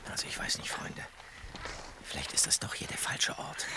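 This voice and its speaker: Man playing a hushed, hesitant boy detective. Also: normal speed, whispered, close to an everyday voice. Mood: doubtful, hushed. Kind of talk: German radio play acting.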